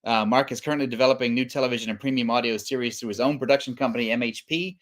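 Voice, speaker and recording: A man's voice: mid-range voice, fairly even intonation, racing. A man guest-hosting a live comics stream in a casual style, with wry, self-deprecating asides.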